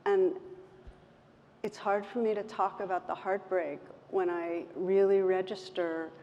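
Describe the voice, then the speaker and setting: A woman with a soft voice speaking gently and reflectively as a meditation teacher, in measured phrases with pauses. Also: mid-range voice, level tone. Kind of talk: reflective talk in an on-stage conversation. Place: event stage in a hall. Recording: roomy hall, muffled, background noise.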